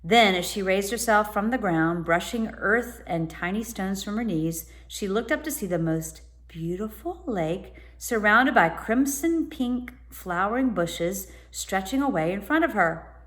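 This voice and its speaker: A woman narrating a children's storybook as a teacher would, pausing to explain words to listeners. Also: mid-range voice, lively intonation, a bit bright. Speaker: teacher reading aloud.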